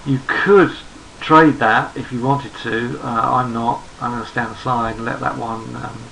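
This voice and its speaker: A man with a gravelly, croaky voice walks through trading charts. He is losing his voice and sounds hoarse. He speaks at a relaxed pace, thinking aloud and repeating himself as he goes. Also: mid-range voice, measured pace, a little hiss or hum, rough recording. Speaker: trading analyst. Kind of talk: screen-recorded market chart commentary.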